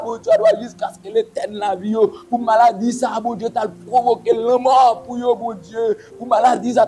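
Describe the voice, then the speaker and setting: A man's voice: sits high, dark tone, poor audio. A man leading a pleading, repetitive spoken prayer. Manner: repetitive, pleading, intoning invocations. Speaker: prayer leader.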